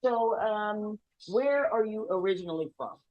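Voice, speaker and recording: Woman TV host, mid-pitched, friendly and upbeat, quick questions, dry sound. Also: expressive, no echo, muffled recording. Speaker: TV show host. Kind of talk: interview questions.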